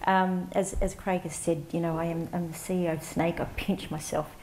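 Middle-aged woman from Central Australia, soft and low, reflective storyteller, stage mic with faint room hiss. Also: flat delivery, rough recording. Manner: warm, reflective, halting with fillers and restarts. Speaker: panelist, CEO of an advocacy organisation. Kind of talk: storytelling conference talk. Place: conference stage before a large audience, indoors.

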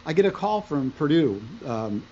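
A middle-aged man whose first language is Italian, telling stories as a podcast guest over a video call from home, talking in an animated way. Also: a little high, moderate pace, highs cut off, slight background noise. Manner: animated, gestures while talking. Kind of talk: conversational storytelling. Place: room at home, indoors. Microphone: video call, wearing headphones.

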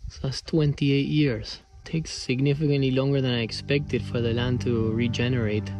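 A man in his thirties narrates his solo canoe trip in a loose, conversational way. He sits outdoors on a river, speaking to an action camera fixed to the boat. Clear background music runs beneath his voice. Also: mid-range voice, moderate pace. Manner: relaxed, chatty, talks to the camera while paddling. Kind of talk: casual outdoor vlog commentary. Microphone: action camera mounted on the canoe.

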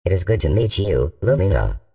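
A man voicing an emotionless, courteous male artificial intelligence in an acted audiobook, measured and formal. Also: low-pitched, sing-song, gravelly, recorded from a distance, muffled recording, pitched below the performer's own voice, full character voice. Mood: calm, emotionless.

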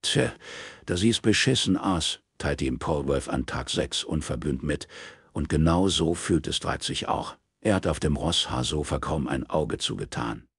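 A man's voice: very deep voice, measured pace, breathy edge, no room sound, clean studio sound. A man reading scripted crime fiction as an audiobook narrator.

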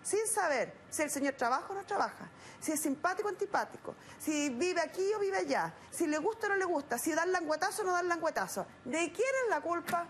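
TV judge, Chilean woman, high voice, stern and scolding. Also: expressive, a little fast, slight background noise. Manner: blunt, scolding, rhetorical questions. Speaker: TV arbitration show judge. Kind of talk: stern courtroom lecturing. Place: TV studio set.

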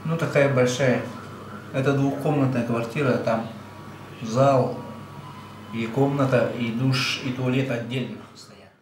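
A man's voice: medium pitch, a little slow. A man, chatting casually on camera in a small kitchen, plain-spoken and chuckling often. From Yakutia. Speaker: truck driver on a road trip. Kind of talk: casual vlog narration. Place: small rented apartment kitchen, indoors. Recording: echoey room with steady noise.

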